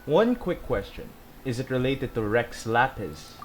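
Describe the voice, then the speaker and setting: A man doing casual live game commentary at an unhurried pace. His mid-range voice is very animated and teasing, with faint noise behind him. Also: mid-range voice, faint music behind. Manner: teasing, reacts aloud to game characters. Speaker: gaming streamer.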